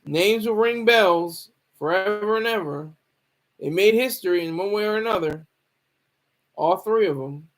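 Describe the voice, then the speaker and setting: High-voiced man rambling through casual commentary. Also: rough recording. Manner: rambling, halting, repeats himself. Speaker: streamer commenting on hip hop news.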